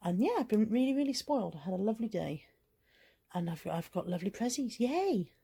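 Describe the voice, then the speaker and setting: A woman with a gravelly voice, tired and hot, chatting casually to camera for her vlog. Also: mid-range voice, sing-song, a little fast, dark tone, no echo. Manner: chatty, self-deprecating, rambling. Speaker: vlogger. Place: parked car.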